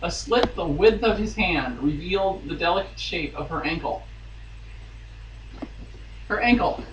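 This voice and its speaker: A man's voice: high-pitched, animated, measured pace. A man giving a theatrical dramatic reading of a romance novel, dropping into amused asides. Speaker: guest reader on a podcast. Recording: roomy, distant mic, faint noise.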